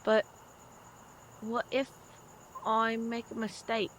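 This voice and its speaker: A woman's voice: on the high side, completely flat delivery, slow pace, a little hiss or hum. A woman playing a curious, earnest young boy in an audio drama, asking questions in a hesitant way.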